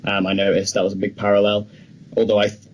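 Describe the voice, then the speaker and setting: Low-voiced, husky man chatting. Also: monotone, quick pace, darkish tone, dull audio. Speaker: film podcast co-host. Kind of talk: casual discussion of film news.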